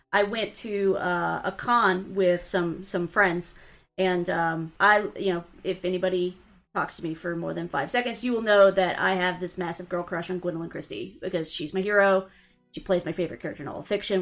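A woman's voice: on the low side, fairly even intonation, a little fast, a bit bright. Woman with a big voice, casual podcast co-host, chatty storyteller. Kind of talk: casual chat.

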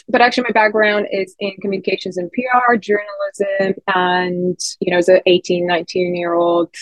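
Podcast guest: woman, 30s, from Bulgaria, loose talkative delivery, low register, dry sound. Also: dry recording, poor audio. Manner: chatty, many fillers and self-corrections. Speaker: guest, head of people experience. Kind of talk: conversational podcast interview.

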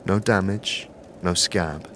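A man narrating an audio drama in the second person, measured and descriptive. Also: low-pitched, steady tone, fairly bright tone, a little hiss or hum.

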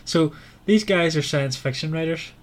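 A man's voice: on the high side, moderate pace. A man co-hosting a podcast, chatting casually about books in hesitant, rambling phrasing. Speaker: podcast co-host. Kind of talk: casual book chat. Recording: clear background noise.

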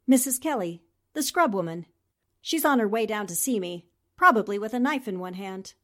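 A woman's voice: medium pitch, animated, normal speed, a bit bright, lightly acted. A woman playing a shrewd, confident newspaper reporter with poised, theatrical delivery in a 1940s-style radio drama. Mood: brisk, wry. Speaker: voice actor.

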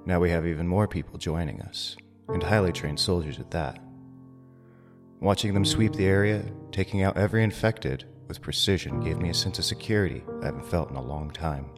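A man's voice: low-pitched, fairly quick, music in the background, natural voice. A man playing a reflective, hopeful survivor narrating a zombie audio drama.

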